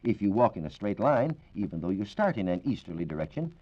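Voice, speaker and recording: An American man explaining science in a measured, precise, formal way as a reporter on a 1940s radio program. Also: on the low side, big pitch swings, darkish tone. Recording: dull 1940s radio recording.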